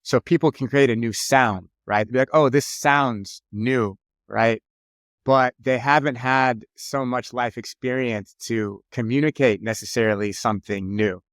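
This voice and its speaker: A man's voice: mid-range voice, fairly even intonation, measured pace, dry recording, clean studio sound. A man in his early forties hosting an interview podcast, asking thoughtful questions in a reflective, conversational way. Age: 40s. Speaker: podcast host. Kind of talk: casual interview chat.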